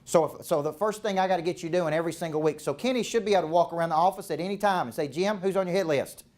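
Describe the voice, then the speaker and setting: Southern guy business coach working a live room, kinda high voice, punchy, keeps asking everybody with me. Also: racing, a little mellow. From American South. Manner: punchy, keeps checking in with the crowd. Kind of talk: live seminar talk to a room. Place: event room with a live audience.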